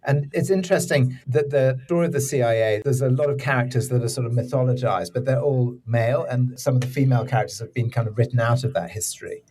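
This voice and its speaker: A man's voice: mid-range voice, fast talker, hardly any pauses, darkish tone, breathy edge. A man speaking as a drama director being interviewed, explaining things conversationally with loose, informal phrasing. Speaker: audio drama director and executive producer, interview guest.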